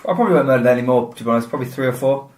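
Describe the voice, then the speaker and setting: A young man from the south of England co-hosting a podcast, retelling a story with dry, deadpan humour. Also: medium pitch, rapid-fire, slight background noise. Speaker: podcast co-host. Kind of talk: casual comedic chat.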